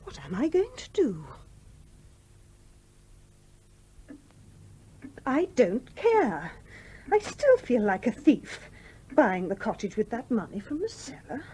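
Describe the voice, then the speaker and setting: A woman's voice: fairly high pitch, sing-song, a little slow, dark tone, a little hiss or hum. An actress in a radio play playing a genteel, scrupulous mother who is polite but flustered and argumentative. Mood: flustered, worried.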